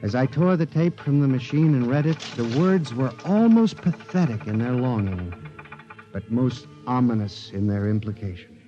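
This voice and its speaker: A man's voice: mid-range voice, moderate pace, dark tone, soft background music, natural voice. An actor playing a frantic man who believes machines are alive, in 1950s radio drama. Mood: grim. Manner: narrates then pleads in rising panic. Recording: dull old radio broadcast.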